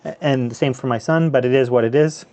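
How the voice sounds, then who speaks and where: A man's voice: medium pitch, fast, a little mellow. A man from the US and Canada, hosting a casual live gaming stream in a loose, rambling way with many fillers and restarts. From North America, US and Canada. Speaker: handheld gaming streamer, host. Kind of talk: casual live stream chat over gameplay.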